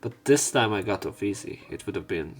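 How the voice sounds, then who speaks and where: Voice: low voice, animated, brisk, breathy edge. A streamer reading visual novel text aloud with casual commentary, restarting misread lines and swearing now and then.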